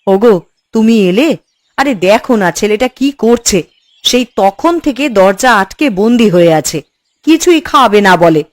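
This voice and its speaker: Woman acting a high-voiced fretful cartoon mother. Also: moderate pace, no room sound, close to an everyday voice. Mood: complaining, doubtful. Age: adult. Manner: exasperated, coaxing, expressive. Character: fretful, scolding housewife and doting mother of a boy.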